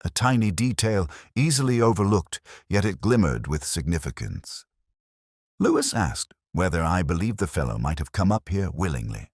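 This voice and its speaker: Very deep-voiced, pensive ageing inspector played by a man. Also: slightly rough, no echo, clean studio sound, heavily acted. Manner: measured, literary, reflective. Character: ageing male police inspector, pensive, melancholy, observant. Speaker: narrator. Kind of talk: scripted first-person detective story narration.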